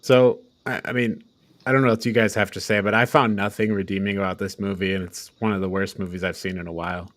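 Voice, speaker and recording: A man's voice: low-pitched, level tone, quick pace, fairly bright tone, no echo. A man hosting a casual review discussion, speaking bluntly and with some exasperation as a critic. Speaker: podcast host.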